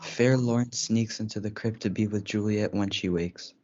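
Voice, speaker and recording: A man's voice: on the low side, fairly even intonation, normal speed, darkish tone. A male student reading scripted narration for a school reader's theatre play, sometimes stumbling over words. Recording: dull lo-fi audio.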